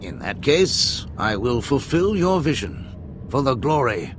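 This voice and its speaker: A man's voice: medium pitch, sing-song, a little slow, background noise. A man playing a haughty, smug Sith lord in a game cutscene, with grandiose, mock-polite speech. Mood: smug menace. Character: haughty male Sith lord, smug right hand to a usurper. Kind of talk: video game cutscene acting.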